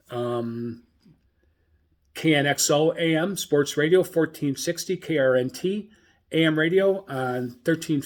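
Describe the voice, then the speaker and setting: A man hosting a casual hobby radio show, talking in a halting, hesitant way with many filler pauses. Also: fairly high pitch.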